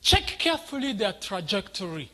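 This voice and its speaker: A man's voice: high-pitched, very animated, moderate pace, sharp tone. A middle-aged man preaching as a guest pastor, speaking in short phrases and addressing his listeners directly. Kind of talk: sermon to a congregation.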